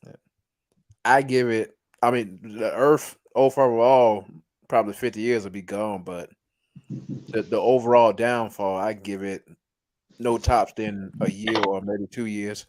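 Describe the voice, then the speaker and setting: A man with a slightly raspy voice joining a casual livestream chat, making quick mocking remarks. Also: fairly low pitch, fairly even intonation, darkish tone, no room sound. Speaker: stream guest.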